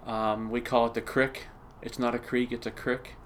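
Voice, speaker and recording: A man with a western Pennsylvania accent, speaking plainly and with a touch of wryness. His pitch stays flat and even throughout. There is a faint hiss under his voice. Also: moderate pace. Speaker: science teacher. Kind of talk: casual personal account.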